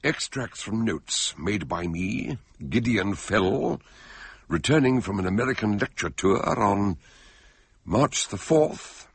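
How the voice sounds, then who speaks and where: An actor playing an elderly, eccentric detective doctor in a radio drama, genial and theatrical with a gravelly, husky voice. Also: male voice, sits low, exaggerated voice. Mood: measured narration. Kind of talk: radio drama acting and narration.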